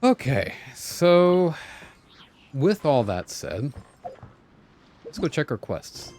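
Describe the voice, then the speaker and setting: A man's voice: on the high side, big pitch swings, extremely slow, a little mellow, slight background noise. A man chatting casually as a game streamer, talking to himself and to his viewers.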